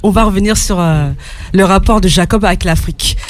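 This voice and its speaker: A woman hosting a radio show in a studio, playful and quick to laugh. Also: medium pitch. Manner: playful, laughing, sings along. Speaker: radio host. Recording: loud music behind.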